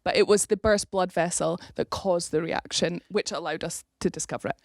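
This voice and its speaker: A woman's voice: lowish voice, steady tone, brisk, gravelly, darkish tone, dry recording, studio quality. A young woman from Scotland, a rugby player, chatting casually as a podcast guest, laughing often and restarting her sentences.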